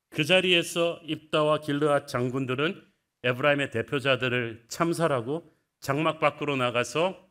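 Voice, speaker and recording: Brief Middle-aged pastor, sermon delivery, lively storytelling, voice slightly high for a man. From Gyeongsang, South Korea. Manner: storytelling, vivid retelling of scripture.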